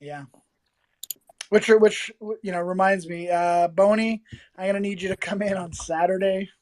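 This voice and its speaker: A woman whose voice is going hoarse from a cold, at a medium pitch. She chats playfully and cracks jokes. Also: moderate pace, darkish tone. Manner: playful, jokey. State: losing her voice, sick. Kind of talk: casual chat.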